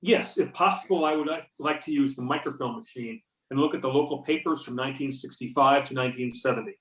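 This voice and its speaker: A man's voice: medium pitch, fast talker, lightly acted. A man playing a determined investigator in readers theater, matter-of-fact and commanding, over a remote call. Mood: polite, inquisitive. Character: Beckett, determined male investigator giving orders. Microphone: remote videoconference or phone call. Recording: roomy, distant mic on conference call.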